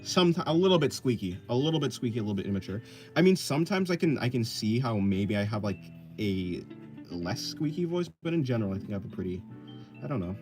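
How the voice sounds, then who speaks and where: A man's voice: mid-range voice, big pitch swings, fast, darkish tone, soft background music. A man in his twenties chatting casually on a livestream while drawing, thinking aloud and answering chat in loose, restarting sentences. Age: 20s. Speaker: streamer.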